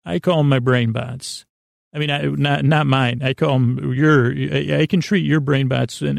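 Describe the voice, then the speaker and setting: A man hosting a bedtime podcast in a meandering, halting, self-deprecating monologue with a whimsical streak. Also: mid-range voice, gravelly, a little mellow, no room sound, clean studio sound. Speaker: host of a bedtime sleep podcast.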